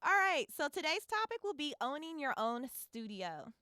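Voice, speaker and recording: A woman co-hosting a podcast, upbeat and asking her guest quick questions. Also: sits high, big pitch swings, pushed and bright, no room sound, studio quality. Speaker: podcast co-host.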